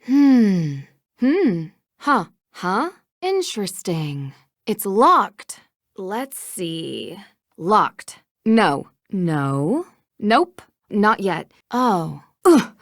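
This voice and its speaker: A woman's voice: medium pitch, very animated, extremely slow, no echo, studio quality, full character voice. A woman playing a cheerful young female detective in a video game, eager and inquisitive. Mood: reacting, musing.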